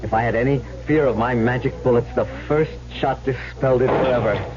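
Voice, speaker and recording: An actor playing a tormented young huntsman, gravely and dramatically recounting his tale in old-time radio style. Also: medium pitch, a little fast, a crowd in the background, close to an everyday voice. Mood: grim, haunted. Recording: dull old radio recording.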